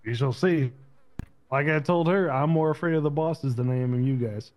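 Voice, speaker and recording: A man playing a defiant, low-ranking prisoner from a criminal faction in a roleplay game. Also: normal speed, a little mellow, lightly acted.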